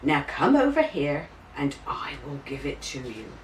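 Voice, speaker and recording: A woman's voice: low-pitched, very animated, unhurried. A woman voicing a jolly, reassuring school nurse with a soothing, exaggerated delivery for a storybook. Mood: playfully important. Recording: roomy, distant mic, faint hiss.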